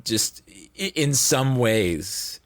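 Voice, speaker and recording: A man's voice: mid-range voice, expressive, extremely slow, no room sound. A man who works as an audio drama producer, talking casually as a podcast guest and rambling as he thinks aloud.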